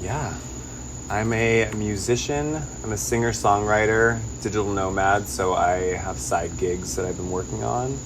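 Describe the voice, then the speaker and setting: California guy with a low voice chatting casually, says like a lot, crickets and hum behind him. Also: unhurried. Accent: Californian American. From Los Angeles, United States. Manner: informal, peppered with "like". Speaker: interview guest, singer-songwriter and digital nomad. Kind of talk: casual chatty interview answers.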